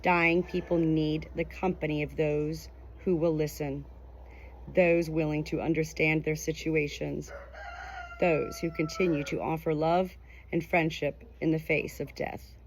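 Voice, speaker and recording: Lower-voiced woman reading nonfiction over background noise. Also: little pitch movement, unhurried. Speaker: audiobook reader. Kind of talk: scripted nonfiction narration.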